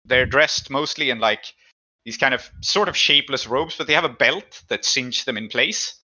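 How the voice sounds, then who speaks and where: A man acts as game master for a tabletop session. His voice is very bright and sharp at a middle pitch. He pieces descriptions together as he goes, and the recording is slightly dull. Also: normal speed. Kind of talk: narrating an improvised tabletop game.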